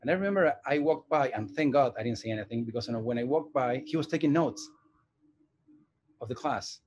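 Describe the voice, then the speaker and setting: A man in his forties, originally from Argentina, answers interview questions about his work. He talks in a thoughtful, unhurried way, pausing and restarting his sentences as he searches for words, with a voice of ordinary middle pitch. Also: darkish tone, a little hiss or hum. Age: 40s. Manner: thoughtful, hesitant, many restarts. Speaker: animation director and teacher. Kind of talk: casual interview.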